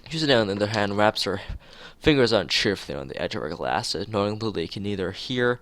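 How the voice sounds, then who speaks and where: Low-pitched male streamer, gravelly. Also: big pitch swings, brisk, a bit bright, slight background noise. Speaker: streamer playing a visual novel. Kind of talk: reading game text aloud with casual asides.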